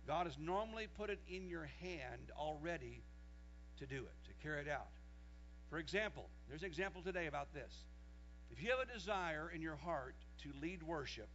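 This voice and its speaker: A man in his sixties preaches in a fairly high voice, reading scripture aloud and building to loud, raised passages when he quotes it. The recording is dull and lo-fi, with a faint mains hum underneath. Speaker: pastor. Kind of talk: sermon.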